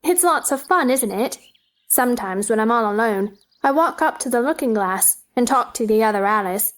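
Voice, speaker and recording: Woman playing an eager, high-voiced little girl. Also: lively intonation, normal speed, fairly bright tone. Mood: chatty, playful. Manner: eager, childlike, inquisitive. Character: chatty, curious, imaginative little girl. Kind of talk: storybook play reading.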